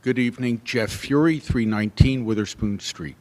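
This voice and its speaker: A soft-spoken man at a podium microphone, giving a public comment at a council meeting with plain, measured speech and some fillers. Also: medium pitch.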